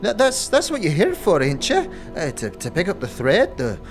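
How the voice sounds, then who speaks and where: A woman's voice: lowish voice, wildly swooping pitch, moderate pace, faint music behind. A woman playing a batty, muddled seamstress who mutters repetitions and chats to herself in rustic, ungrammatical speech in a fantasy audio drama. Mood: muddled, muttering.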